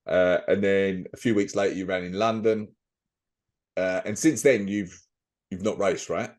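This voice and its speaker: Guy hosting a podcast, deep voice, casual interview chat. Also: steady tone, measured pace, darkish tone.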